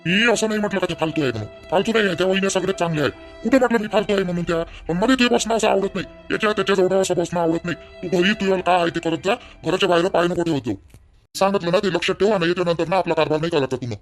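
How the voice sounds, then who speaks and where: A man's voice: high-pitched, very fast, faint music behind, lightly acted. A man playing an angry, controlling young village husband in a family cartoon, scolding and repeating himself in a Vidarbha Marathi accent. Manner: scolding, domineering, repetitive. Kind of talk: family drama cartoon dialogue.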